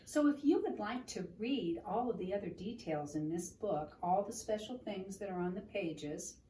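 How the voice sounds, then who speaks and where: A woman reading a picture book aloud to a child and explaining it in a gentle, teacherly way. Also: mid-range voice, lively intonation, normal speed. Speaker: children's storytime reader. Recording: roomy, distant mic with room noise.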